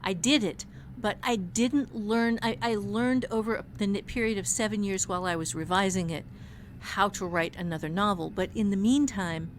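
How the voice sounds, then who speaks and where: A woman's voice: medium pitch, moderate pace, slight background noise. A woman author and writing teacher chatting on a podcast, candid and self-deprecating with a mild curse now and then. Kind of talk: casual podcast chat.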